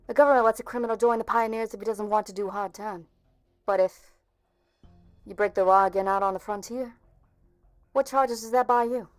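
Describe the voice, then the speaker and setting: A woman's voice: fairly high pitch, dry recording, natural voice. A woman playing a stern, bitter police officer in an amateur game fan dub, with a cutting, sarcastic delivery. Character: stern, bitter policewoman confronting an old friend.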